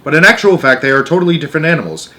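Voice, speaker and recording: A man plays an old storybook grandmother, grave and knowing. The delivery is emphatic and matter-of-fact, relishing grim details, with lively rises and falls in pitch. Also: very fast. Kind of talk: storybook read-aloud character.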